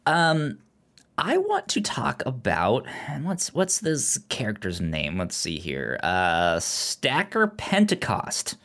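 A man's voice: medium pitch, huge pitch swings, slow, fairly bright tone. A man co-hosting a podcast, chatting casually about a movie, often stammering and repeating syllables. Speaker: podcast co-host.

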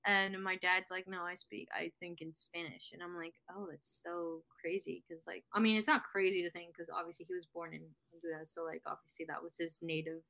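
Young US woman, podcast guest, lowish voice, chatty and loose. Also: flat delivery, fairly quick, creaky, hardly any pauses, bright, forceful tone, poor audio. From United States. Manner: chatty, filler-heavy. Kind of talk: casual interview chat.

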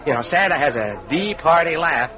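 A muffled old radio recording with some hiss carries a kindly, flustered department store manager. His voice is fairly high and swoops about, eager and halting in vintage radio comedy style. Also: very animated. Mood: jovial. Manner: dreamy, halting, eager, apologetic. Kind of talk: 1940s radio comedy-drama acting.

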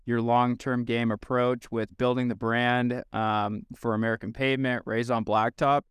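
An enthusiastic man hosting a casual podcast, his voice smooth and resonant. He speaks close to a studio mic, with a dry sound and no room around him. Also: mid-range voice, little pitch movement, normal speed, no room sound. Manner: upbeat, enthusiastic, with fillers and restarts. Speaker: podcast host.

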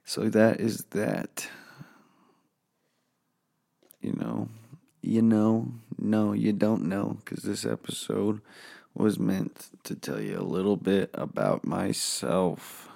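A man hosting a casual solo podcast from his home office, rambling and swearing freely, with a hoarse voice. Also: fairly low pitch, slow, warm tone. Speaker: podcast host.

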